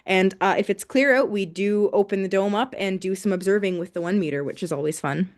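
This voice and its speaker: A woman's voice: medium pitch, brisk. A woman co-hosting a live radio show, giving listeners event details in a friendly, informative way with some fillers. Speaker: radio co-host.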